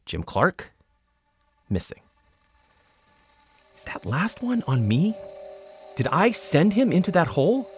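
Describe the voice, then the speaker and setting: An actor playing an earnest, troubled paranormal investigator who narrates a scripted podcast in a low-key, conversational way. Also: male voice, dry recording, studio quality, heavily acted. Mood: haunted, guilty. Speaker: host and narrator.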